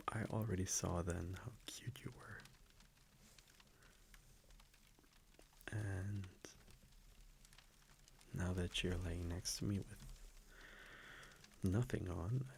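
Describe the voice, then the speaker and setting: A gentle vampire boyfriend played by a man, very low and gravelly, murmuring intimate pillow talk in an ASMR roleplay. He is soft-spoken and affectionate, often slipping toward a whisper, with soft fireplace ambience faintly behind him. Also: slight background noise, exaggerated voice. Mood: tender. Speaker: audio roleplay voice actor.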